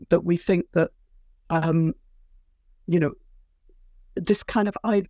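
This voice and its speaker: A woman's voice: deep voice, darkish tone, no echo. A woman, an author being interviewed, who answers thoughtfully and hesitantly with many fillers and restarts. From Britain. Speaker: author and guest.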